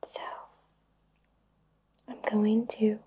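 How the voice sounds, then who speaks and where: A woman whispering breathily in a soothing, reassuring way with long pauses, guiding an ASMR Reiki session. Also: medium pitch, monotone, dark tone, slight background noise. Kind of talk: whispered ASMR personal attention.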